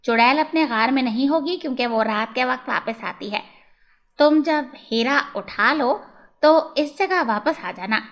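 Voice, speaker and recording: High-pitched little dwarf voiced by a woman. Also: unhurried, a bit bright. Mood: coaxing. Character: small, cunning dwarf giving instructions and promising rewards. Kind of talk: children's moral storybook acting.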